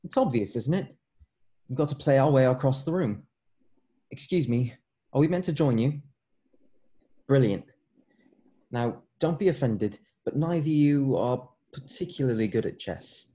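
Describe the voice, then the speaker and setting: A man's voice: mid-range voice, expressive, normal speed, pauses often, mellow, natural voice. A man playing a wry, practical, loyal schoolboy wizard in a screenplay table read. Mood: confident.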